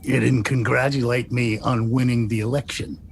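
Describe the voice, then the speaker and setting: Creaky, cranky old man, acted by a man. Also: level tone, moderate pace, lightly acted. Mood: grumpy. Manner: grumbling, wisecracking. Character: cranky, stubborn, foul-mouthed eighty-two-year-old male patient. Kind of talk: screenplay table read. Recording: audible low rumbling noise.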